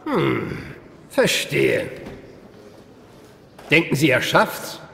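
A man playing a formal, detached courtroom judge in a video game, speaking haltingly. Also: fairly high pitch, big pitch swings, vocal fry, sharp tone, exaggerated voice. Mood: dry, detached. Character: brisk, indifferent courtroom judge. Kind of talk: German game dub acting. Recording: reverberant hall, faint noise.

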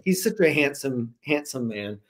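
An American man in his forties talks as a guest author on a video call. He is animated and playful, telling things casually in a loose, rambling way. His pitch sits in the middle range for a man. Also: moderate pace. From Rhode Island, United States. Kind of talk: casual storytelling in an online interview. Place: small home room, indoors. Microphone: computer mic on a video call.

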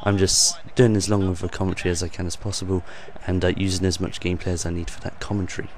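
A young man with a croaky voice hosting his gaming channel, rambling off the cuff with lots of ums and uhs over game footage. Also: deep voice, level tone, quick pace, slight background noise.

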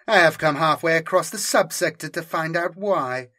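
A man's voice: fairly high pitch, normal speed, sharp tone, voice pushed up. A man reading an audiobook, voicing a cold, commanding female inquisitor with a cybernetic eye, her speech curt and imperious. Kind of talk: audiobook character voice.

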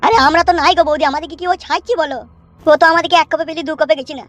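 A woman's voice: high voice, fairly even intonation, racing, fairly bright tone. A woman voicing a worried, blunt young village man in a comedy cartoon, speaking in slang. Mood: uneasy. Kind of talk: Bangla comedy cartoon dialogue.

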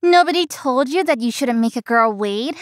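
Young woman, voiced by an actress, very high voice, gentle, dutiful, dry studio sound, anime-style game. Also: lively intonation, moderate pace, no room sound. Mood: playful. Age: young adult. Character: dutiful, gentle young working daughter, playful friend. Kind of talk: visual novel voice acting.

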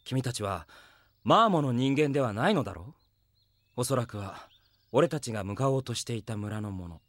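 A man playing an earnest, steady young knight in an anime audio drama. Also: medium pitch, normal speed, no echo, lightly acted. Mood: probing, calm.

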